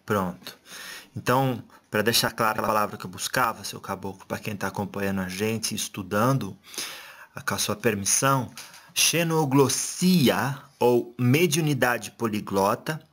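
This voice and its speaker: A man's voice: lowish voice, moderate pace, fairly bright tone. A man interviewing a medium, asking loose, chatty questions full of fillers and restarts. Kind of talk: casual spoken questions.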